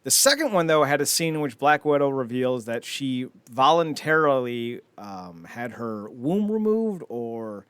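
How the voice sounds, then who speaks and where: A man talks casually as a podcast host. His delivery is lively and varied in pitch, with wry, opinionated asides. The sound is dry, at a middling male pitch. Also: measured pace, hardly any pauses, clear, resonant tone, no echo. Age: 30s. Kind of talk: casual podcast commentary on entertainment news.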